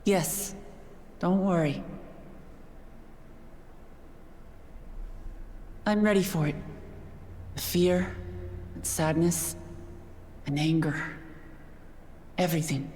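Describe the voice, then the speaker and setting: An actress voicing a dignified, resolute young royal woman in a video game, with solemn, measured delivery. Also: fairly low pitch, darkish tone, a little hiss or hum. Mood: quiet resolve.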